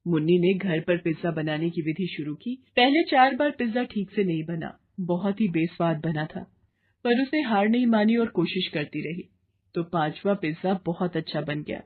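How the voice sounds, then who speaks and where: A woman's voice: lowish voice, lively intonation, moderate pace, husky, narrow, tinny audio. A woman reading a scripted children's moral story as narrator.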